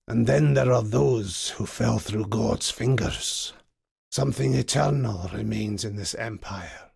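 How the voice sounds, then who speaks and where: Gravelly, slow-spoken templar zealot. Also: male voice, fairly low pitch, a little mellow, dry recording, clean studio sound, exaggerated voice. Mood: grave, brooding. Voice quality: gravelly, husky. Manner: solemn, pious, archaic preacherly phrasing. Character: devout, grim, righteous old templar zealot exiled from his order. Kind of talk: dramatic video game character voice lines.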